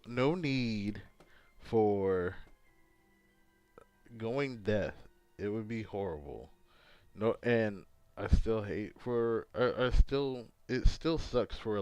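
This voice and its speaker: Hungover male streamer, slow, rambling, lively pitch. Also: dry recording. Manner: rambling, halting, talks to chat while fiddling with setup. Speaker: gaming livestreamer. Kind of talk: casual livestream chat.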